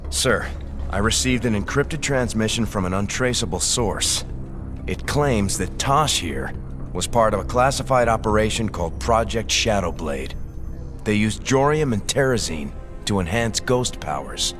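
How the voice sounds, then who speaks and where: An actor playing an earnest, dutiful starship second-in-command in a video game, reporting in a formal, respectful military manner. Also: male voice, on the low side, lively intonation, measured pace, music in the background. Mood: concerned report. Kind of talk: video game cutscene acting.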